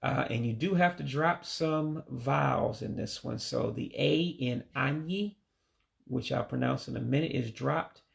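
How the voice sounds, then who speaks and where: A man with a deeply gravelly, creaky voice talks through a home-made language lesson at an unhurried pace. He sounds out each phrase carefully and tentatively, stopping to correct himself and try again. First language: English. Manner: slow, careful, tentative pronunciation with self-corrections. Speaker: language learner teaching greetings. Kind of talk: self-taught language lesson.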